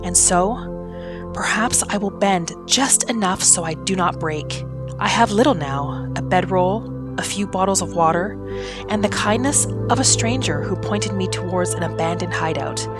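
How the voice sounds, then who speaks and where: A woman voicing a wistful, hopeful female wood elf exile, telling her story in measured, lyrical fantasy narration. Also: on the low side, close to an everyday voice. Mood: solemn, then quietly hopeful. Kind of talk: scripted first-person fantasy narration. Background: new-age music.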